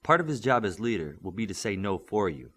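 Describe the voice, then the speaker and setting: A man's voice: on the low side, very animated, fairly quick, a bit bright. A man playing a patient, firm superhero mentor in an audiobook, coaching and instructing steadily. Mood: instructive.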